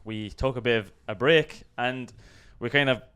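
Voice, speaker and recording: A man's voice: mid-range voice, normal speed, a bit bright, smooth. A man with an Irish accent co-hosting a casual discussion, conversational and full of hedging asides. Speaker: co-host of a video channel. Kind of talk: casual discussion of an online controversy.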